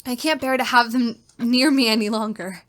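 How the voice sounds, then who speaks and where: Actress doing a scared genteel young lady held captive, high voice, faltering, old radio suspense melodrama. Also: fairly even intonation, normal speed, a bit bright, close to an everyday voice. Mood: anxious dread. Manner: faltering, repeats words, melodramatic. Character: terrified captive young woman, genteel, anxious, pleading. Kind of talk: old-time radio style suspense drama, diary monologue.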